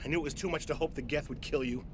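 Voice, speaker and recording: An actor playing an agitated, ruthless corporate official in a video game, stammering and jumpy. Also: male voice, fairly high pitch, very fast, background noise, natural voice. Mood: menacing, tense. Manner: jittery, stammering, shouting. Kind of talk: dramatic video game acting.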